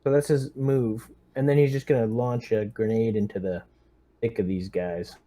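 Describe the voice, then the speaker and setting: A man's voice: mid-range voice, steady tone, moderate pace, dark tone. A man chatting casually as a player in a tabletop game.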